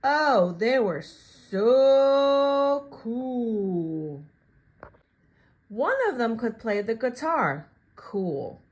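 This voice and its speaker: A woman reads a picture book aloud for young children. Her voice sits fairly high and swings widely in pitch. She takes her time, speaking slowly and deliberately, and acts out the story as she goes. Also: lo-fi sound. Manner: expressive, slow and deliberate, acting out the story.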